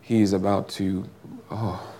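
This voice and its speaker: A man preaching with a big booming voice that sits very low and stays flat, with little rise and fall. He prays and preaches slowly and fervently, stretching out his phrases and repeating them. A faint hum of room ambience sits behind him. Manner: slow, drawn-out, fervent delivery with repeated phrases. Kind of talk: sermon with prayer.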